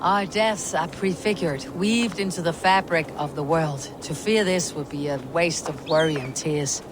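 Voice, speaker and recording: An actress playing a proud, blunt Viking warrior leader in a video game, dry, wry and commanding. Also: female voice, low voice, measured pace, noisy background. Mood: calm, proud. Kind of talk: dramatic video game acting.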